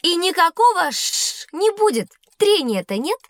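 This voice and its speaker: A woman playing a bright, inquisitive schoolgirl who is quick to correct, animated and matter-of-fact, in an educational audio drama. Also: very high voice, dry recording, studio quality, heavily acted. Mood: contradicting.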